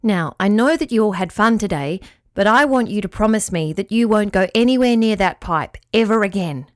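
A woman's voice: mid-range voice, animated, moderate pace, no echo, clean studio sound, lightly acted. A woman voicing Shaggles, a kindly but firm male creature who looks after the fish. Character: gentle, caring male water creature, friend to fish.

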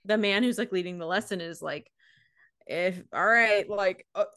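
A woman's voice: medium pitch, normal speed, crowd noise. An American woman co-hosting a casual podcast, telling anecdotes and acting out quotes as she goes. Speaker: podcast co-host.

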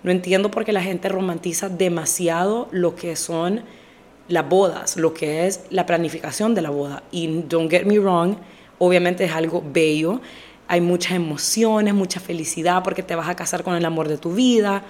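A young woman hosting her own podcast in casual, direct chat, a little hoarse with a stuffy nose, slipping English phrases into her talk. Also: on the low side, steady tone, moderate pace, a little mellow. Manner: direct, chatty, mixes English phrases into Spanish. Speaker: podcast host.

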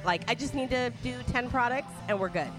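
A woman's voice: a little high, brisk. Thirtysomething woman hosting a podcast, chatty and crude, giggly, music playing underneath. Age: 30s. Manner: bubbly, laughs often, blunt and crude. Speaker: podcast host. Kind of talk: casual chat.

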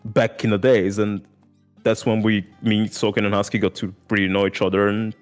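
Man, somewhat low voice, earnest casual podcast talk, faint music behind. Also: flat delivery, moderate pace. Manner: earnest, emphatic, says "dude" and "like" a lot. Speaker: music content creator guest.

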